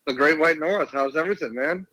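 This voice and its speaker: A man's voice: on the high side, brisk, pushed and bright, rough recording. A man with a Boston accent, giving casual sports commentary as a guest analyst.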